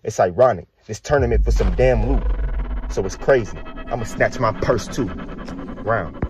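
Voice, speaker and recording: A young man with an American accent and a husky, rough voice, delivering aggressive, boastful battle rap verses a cappella. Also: medium pitch, normal speed, over music. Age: young adult. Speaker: battle rapper.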